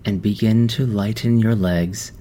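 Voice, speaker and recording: A man guiding a meditation in a slow, soft, soothing voice with long pauses. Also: fairly low pitch, steady tone, heavy background noise. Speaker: meditation guide. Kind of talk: guided meditation. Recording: music underneath.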